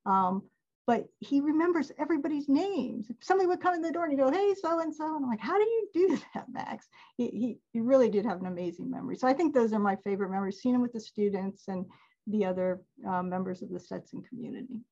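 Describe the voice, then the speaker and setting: A woman's voice: on the high side, expressive, quick pace, mellow, highs cut off. A woman giving a casual webinar talk on a video call, chatty and laughing often. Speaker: alumni event presenter.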